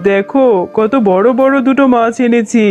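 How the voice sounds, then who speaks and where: A doting fox father from a children's cartoon, acted by a woman, talks in a high voice. He sounds homely and expressive, a working fisherman talking to his family. Music runs underneath. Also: normal speed, lo-fi sound, natural voice. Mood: pleased, doting.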